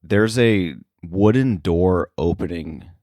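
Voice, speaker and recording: A man chatting casually as an interview guest, halting and thinking aloud as he explains. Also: deep voice, warm tone, no room sound, clean studio sound. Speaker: podcast guest, sound editor.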